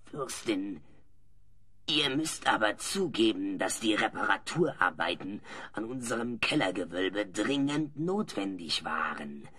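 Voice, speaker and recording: A man playing a hapless, servile older servant in a fantasy audio play, pleading and making excuses in a strained, raspy voice. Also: fairly low pitch, animated, measured pace, bright, forceful tone, exaggerated voice. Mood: defensive. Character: hapless, excuse-making ageing male servant, fond of drink. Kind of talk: German audio play acting.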